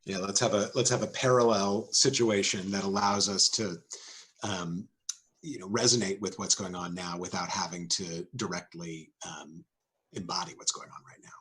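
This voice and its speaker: A man's voice: low-pitched, fairly even intonation, moderate pace, fairly bright tone, slightly rough, poor audio. A man in casual panel conversation, speaking in an understated, conversational way.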